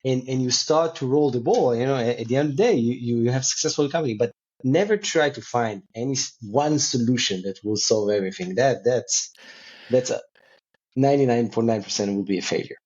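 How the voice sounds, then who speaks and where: A man who speaks as a talks in a conversational interview style at a middle pitch. He halts and often repeats words and phrases as he explains. The recording is dull and lo-fi. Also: brisk, a little mellow. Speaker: company co-founder and CEO, interview guest. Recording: dull, lo-fi call audio.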